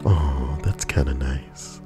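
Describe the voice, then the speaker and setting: Deep-voiced man telling a blunt story. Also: slow, over loud music. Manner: blunt, profane, conversational. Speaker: veteran storyteller. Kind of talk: personal storytelling.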